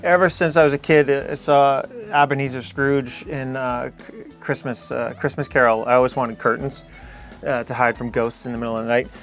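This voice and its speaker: A man with an average-pitched voice explains his home as its owner. His delivery is a casual, conversational house tour, with soft music underneath. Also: steady tone, normal speed. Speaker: homeowner giving a house tour.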